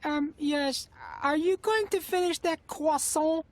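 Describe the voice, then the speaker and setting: A man voicing a timid, chubby, nerdy young cartoon boy with a whiny, nasal voice and a halting, awkward manner. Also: very high voice, a little hiss or hum. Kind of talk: animated cartoon voice acting.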